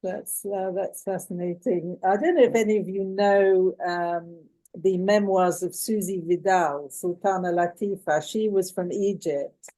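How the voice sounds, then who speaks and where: A woman with a low, mellow voice hosts a talk and asks her questions politely and haltingly, with plenty of fillers. Her tone stays soft and unhurried throughout. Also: steady tone, rough recording. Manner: hesitant, polite, many fillers. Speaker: host, moderator. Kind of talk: hosting a talk and asking questions.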